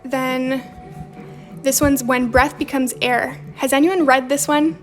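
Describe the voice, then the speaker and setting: A woman's voice: high voice, fairly even intonation, slow pace. A young woman with a slight Romanian accent chats casually while she hosts a journaling livestream. She reads viewer comments aloud and answers them. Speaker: livestream host. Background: faint background music.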